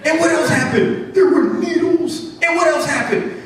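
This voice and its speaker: A man's voice: extremely high pitch, pitched above the performer's own voice. A man voicing a frightened old lab mouse in a live comic story, drawing out his horrors melodramatically. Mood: haunted, melodramatic. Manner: melodramatic, drawn-out retelling of horrors. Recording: very echoey room, distant mic, faint noise.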